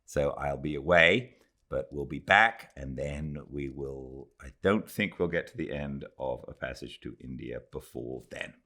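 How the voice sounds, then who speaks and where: A man's voice: a bass voice, gravelly. A man from Britain who narrates audiobooks, chatting casually and then reading a classic novel aloud by his fireplace at home. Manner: relaxed, chatty intro then measured literary reading. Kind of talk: live fireside book reading.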